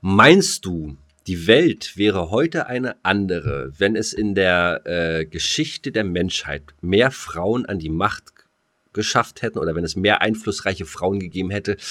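A middle-aged man co-hosting a casual podcast, speaking haltingly as he searches for his words. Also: deep voice, a bit bright. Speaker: podcast co-host.

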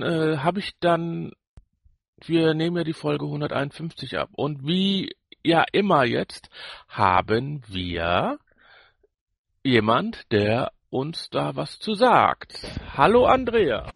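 A man with a husky, creaky voice chats as a podcast co-host. He rambles and searches for words, and the recording is close and dry. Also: on the high side, big pitch swings, slow pace, a bit bright, no room sound. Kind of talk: casual chat.

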